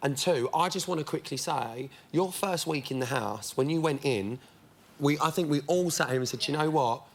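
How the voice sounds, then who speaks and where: A man's voice: a little high, normal speed, creaky. A man co-hosting a live studio companion show, bantering playfully with his co-host and guest. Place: TV studio with audience.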